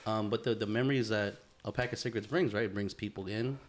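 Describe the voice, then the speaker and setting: A man's voice: lowish voice, fairly even intonation, fast talker. Laid-back young man telling a story. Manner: storytelling, laughs often, filler-heavy. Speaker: podcast co-host. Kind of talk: casual chat.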